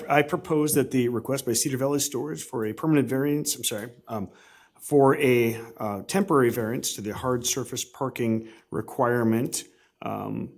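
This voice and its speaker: A tired board member reading out a formal motion, stumbling a little over the wording. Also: male voice, mid-range voice, a little mellow.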